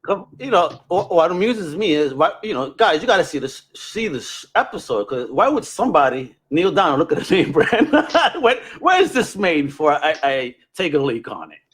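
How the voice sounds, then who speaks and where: A man's voice: fairly high pitch, big pitch swings, normal speed, hardly any pauses, a bit bright, husky. A man hosting a podcast in casual chat, with an enthusiastic, slangy and emphatic way of talking. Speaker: podcast host.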